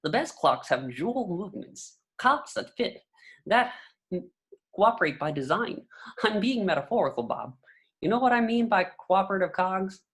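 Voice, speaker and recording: A woman's voice: medium pitch, very animated, moderate pace, natural voice. A woman playing a fussy, tyrannical middle-aged male office boss in a script read, clipped, pompous and condescending. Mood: pompous lecturing. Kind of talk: amateur script table read.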